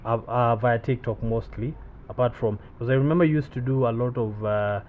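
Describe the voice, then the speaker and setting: A man's voice: medium pitch, steady tone, a little fast, slight background noise. Hesitant man on thin phone-like audio. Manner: hesitant, with many restarts. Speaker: podcast host. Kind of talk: casual interview questions.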